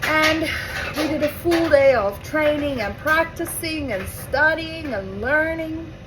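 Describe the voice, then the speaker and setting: A young woman with an Afrikaans accent, from South Africa, telling a rambling, chatty story to camera with asides and self-corrections. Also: a little high. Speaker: vlogger. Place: home with appliances running. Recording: noisy home recording.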